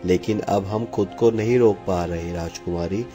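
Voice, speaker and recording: A man's voice: deep voice, normal speed, mellow, faint music behind, close to an everyday voice. A man voicing an earnest, resolute prince in love, speaking formally in a cartoon fairy tale. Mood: tender.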